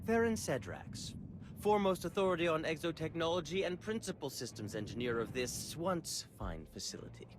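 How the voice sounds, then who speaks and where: An actor playing a pompous, fussy chief engineer in a video game, with a theatrical delivery. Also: male voice, a little high, very animated, normal speed, noisy background. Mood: self-important, flustered.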